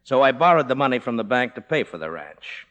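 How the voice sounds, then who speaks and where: A man's voice: mid-range voice, big pitch swings, fairly quick, fairly bright tone, dull audio. An actor playing an exasperated, money-strapped Hollywood businessman with a put-upon, complaining manner, in old radio drama style. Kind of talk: 1950s radio drama acting. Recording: reverberant vintage radio recording.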